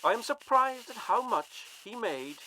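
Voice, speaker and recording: A woman delivering a recollection in a measured, narrated style. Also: fairly high pitch, lively intonation, sharp tone, poor audio. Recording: faint background noise and rustling.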